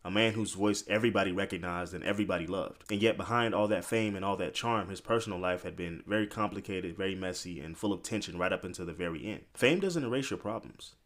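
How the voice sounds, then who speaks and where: A man's voice: deep voice, fast talker, hardly any pauses, a bit bright. A man narrating a biographical story as commentary, informal and opinionated, speaking straight to the listener with conversational asides.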